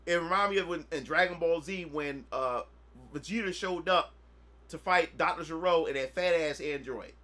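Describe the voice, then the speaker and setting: A man's voice: on the high side, normal speed, sharp tone. A man in his thirties, chatting casually on a gaming livestream, rambling and repeating himself as he reminisces. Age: 30s. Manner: rambling, repetitive, often asks "you know what I'm saying". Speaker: gaming streamer.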